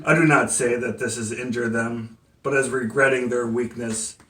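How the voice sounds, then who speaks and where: A man playing a defiant, devout condemned abolitionist captain giving a halting, emphatic courtroom speech in a theatrical monologue. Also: medium pitch, fairly even intonation, fairly bright tone, lightly acted. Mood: firm, solemn. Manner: halting, emphatic oratory with stumbles. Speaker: poet and playwright performing a monologue. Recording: echoey room, distant microphone.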